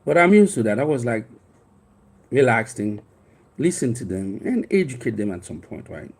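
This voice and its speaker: A man's voice: mid-range voice, sing-song, normal speed, husky. A man in his fifties from Haiti with a Haitian accent, a life coach telling a personal story in a rambling, earnest way with frequent restarts. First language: Haitian Creole. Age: 50s.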